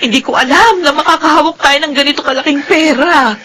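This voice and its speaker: A woman's voice: high-pitched, fast talker, sharp tone. A woman playing an emotional, busy mother in a Filipino radio drama. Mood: moved, amazed. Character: mother of a newly well-off family, emotional and busy.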